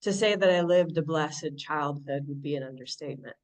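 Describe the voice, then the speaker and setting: A low-pitched American woman shares her recovery story at an online meeting, thoughtful and halting with frequent restarts. She sounds set back from the microphone, a little distant in the recording. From Southern California. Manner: reflective, halting, many restarts and fillers. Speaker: speaker sharing at a recovery meeting.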